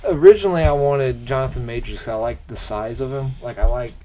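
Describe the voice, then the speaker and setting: A man co-hosting a podcast in casual chat, often halting as he gropes for words and swearing now and then. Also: medium pitch, dark tone. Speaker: podcast co-host. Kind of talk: casual chat, pitching film ideas.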